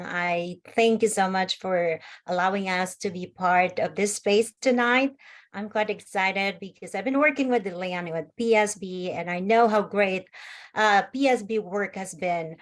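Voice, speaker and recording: A woman teacher co-facilitating an online workshop, speaking effusively and earnestly over a video call. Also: medium pitch. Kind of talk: workshop opening thanks.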